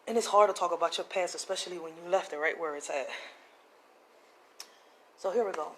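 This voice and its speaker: A woman's voice: lowish voice, brisk, fairly bright tone, a little hiss or hum, poor audio. A woman telling her own hard life story to her viewers in a plainspoken, confessional way, using casual slang. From Puerto Rico, raised in Philadelphia. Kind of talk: personal testimony to camera.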